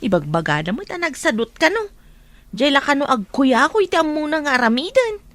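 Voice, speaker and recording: A woman's voice: high voice, sing-song, a little fast, fairly bright tone, no room sound. An actress with an Ilocano Filipino accent playing a gossipy, playful village woman in a radio comedy drama.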